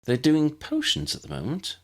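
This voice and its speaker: A man's voice: a little high, wildly swooping pitch, moderate pace, pitched above the performer's own voice. A man voicing a friendly, helpful young schoolgirl witch in an audiobook, chatty and reassuring as she explains things. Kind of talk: audiobook character voice.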